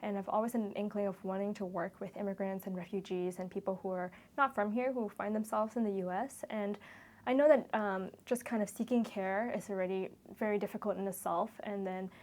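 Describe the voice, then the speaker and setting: A woman in her twenties who grew up in Malaysia gives a reflective, interview-style testimonial. Her voice sits at an average pitch for a woman. She talks earnestly, linking loose phrases as she thinks back over her experience. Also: fairly even intonation, a little fast, a little mellow. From Kuala Lumpur, Malaysia. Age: 20s. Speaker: medical student-to-be sharing a shadowing experience.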